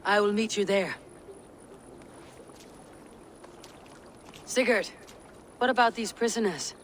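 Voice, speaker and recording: An actress playing a tough, shrewd Viking raider in a video game, with a dry, measured, taunting manner. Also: female voice, medium pitch, fairly bright tone, lightly acted. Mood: watchful. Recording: long reverb, faint noise.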